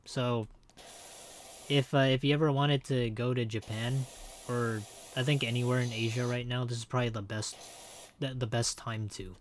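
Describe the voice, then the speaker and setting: A man's voice: mid-range voice, fairly even intonation, moderate pace, a little hiss or hum. Young american guy gaming and chatting, lots of likes and uhs, kinda rambly. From born in Hong Kong, raised in the United States. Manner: rambling, many fillers and restarts. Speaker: streamer. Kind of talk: casual chat while gaming.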